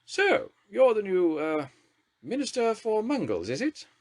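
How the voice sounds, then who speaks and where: Brusque older stateswoman, voiced by a male reader, drawling and dismissive, high and lively. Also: a little slow, full character voice. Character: brusque imperious older woman prime minister.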